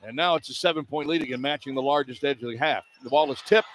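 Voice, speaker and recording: A man's voice: mid-range voice, fast talker. A man doing live radio play-by-play of a basketball game, describing the action in clipped running phrases. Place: courtside in a basketball arena. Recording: clear arena noise behind the voice. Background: arena ambience.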